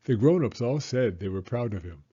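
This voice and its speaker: Animated man narrating, mellow and husky. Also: medium pitch, moderate pace, muffled recording. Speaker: storybook narrator. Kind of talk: animated children's read-aloud narration.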